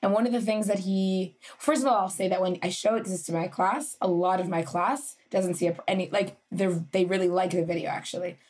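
A woman's voice: medium pitch, fairly even intonation, brisk, few pauses, a little mellow. A woman co-host and teacher, talking in a rambling, hesitant way with many restarts. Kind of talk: podcast conversation.

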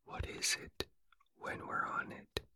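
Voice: slow pace, sharp tone, dry recording. Storyteller as wry whispering storybook rabbit. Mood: skeptical.

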